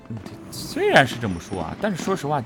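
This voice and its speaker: A man's voice: mid-range voice, wildly swooping pitch, quick pace. A man from Henan chatting casually as a host about an audio drama, often repeating and rephrasing for emphasis. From Henan, China. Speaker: host and voice actor. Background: audio drama and music playing.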